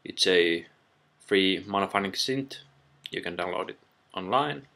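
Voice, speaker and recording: A man presents a casual software tutorial in a low, unhurried voice with a bright, hard edge. He goes step by step and halts often. The sound comes from a built-in computer microphone. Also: gravelly. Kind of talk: informal software tutorial narration.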